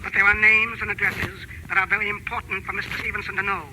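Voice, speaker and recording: An actor playing a meek, precise, courteous chemist in an old-time radio drama. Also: male voice, high voice, level tone, noisy background, close to an everyday voice. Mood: grave, courteous. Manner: formal, polite, deliberate. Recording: old radio recording with music.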